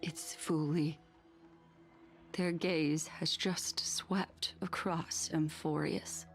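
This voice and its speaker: A woman voicing a composed, mysterious, courteous lady in a video game, speaking in a measured, formal manner. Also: deep voice, steady tone, in a whisper, soft background music, exaggerated voice. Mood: hushed, grave.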